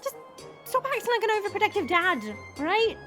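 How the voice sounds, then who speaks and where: A woman's voice: very high voice, expressive, a little fast, a bit bright, faint music behind. A woman playing a smirking, sarcastic monster woman who chats and mocks in an audio drama. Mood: sarcastic, amused. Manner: sarcastic, mocking, chatty. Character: smirking, teasing monster woman, short and muscular.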